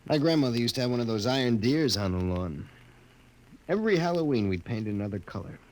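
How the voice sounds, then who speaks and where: An actor with an American accent playing a brash, homesick, down-to-earth lieutenant in a 1950s radio drama. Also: male voice, medium pitch, expressive, fast, a little mellow, lightly acted. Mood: nostalgic. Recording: noisy 1950s radio recording.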